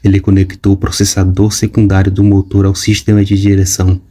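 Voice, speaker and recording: A man giving scripted audiobook narration in an even, measured way. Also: sits low, a little hiss or hum.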